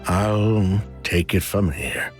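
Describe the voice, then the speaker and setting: An actor playing a flustered, defensive male merchant in a video game, speaking in a halting, evasive way. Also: lowish voice, steady tone, over music. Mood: resigned, guarded.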